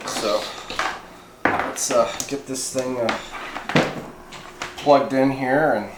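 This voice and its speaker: Man, mid-pitched voice, folksy and rambling, casual workshop how-to talk, roomy echo, clear background noise. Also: fairly even intonation, drawn-out words. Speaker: host of a radio hobby how-to channel. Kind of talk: casual hands-on demonstration talk.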